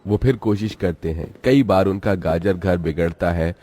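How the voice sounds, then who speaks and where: Guy narrating a childrens story, really deep warm voice, scripted read. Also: moderate pace, soft background music.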